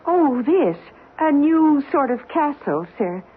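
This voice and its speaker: Uneasy secretary for a radio play: high, slow, hesitant and placating, over noisy tinny broadcast sound. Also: female voice, big pitch swings, full character voice. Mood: uneasy politeness. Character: timid, uneasy secretary humoring a deluded man. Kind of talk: 1970s radio drama acting.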